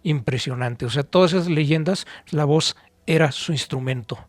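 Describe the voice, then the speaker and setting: A man's voice: on the high side, normal speed. A Mexican man, middle-aged or older, chatting casually as a podcast co-host in an enthusiastic, explanatory, emphatic way. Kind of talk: casual conversational talk recalling radio technology and history.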